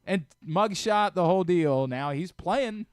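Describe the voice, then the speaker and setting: A man hosts a sports talk radio show in a clear, smooth voice. He speaks casually and acts out exchanges as he tells a story. The sound is dry and close, as in a studio. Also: sits high, a little slow, no echo. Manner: animated storyteller who re-enacts exchanges.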